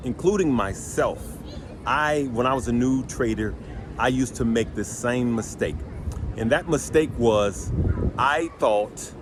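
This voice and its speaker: A deep-voiced man gives casual stock trading advice to his viewers. He is emphatic and repeats himself to make each point land. His pitch sits mid-range, and steady traffic and street noise run behind him. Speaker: stock trading channel host.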